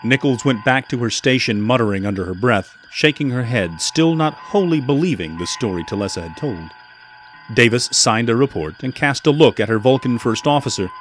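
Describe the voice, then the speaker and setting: A man's voice: mid-range voice, lively intonation, normal speed, a little hiss or hum. A man reading scripted narration for an audio drama.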